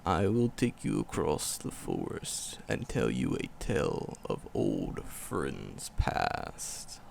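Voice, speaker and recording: A man voicing an ancient, hulking forest demon, tender and wistful, in a gravelly, poetic, slow-phrased ASMR roleplay. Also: a bass voice, huge pitch swings, a little mellow, a little hiss or hum, full character voice. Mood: solemn warning. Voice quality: gravelly, growling creak. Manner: slow, poetic, storybook phrasing with dramatic pauses.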